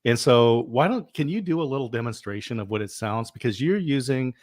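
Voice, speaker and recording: A man hosting a casual live stream, chatting in a relaxed way with frequent "uh" fillers. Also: medium pitch, fairly quick, dry recording, studio quality. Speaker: livestream host.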